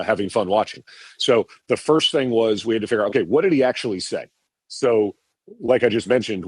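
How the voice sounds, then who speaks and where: A man's voice: fairly low pitch, darkish tone, no room sound. A middle-aged man, a TV producer, answering podcast questions in a rambling way with many restarts and repeated words. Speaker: TV producer guest.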